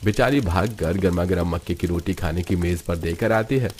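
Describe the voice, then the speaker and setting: A man with a deep voice narrates a cartoon family tale. The delivery is scripted storytelling, moving through household events as a narrator. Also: normal speed, darkish tone, slight background noise.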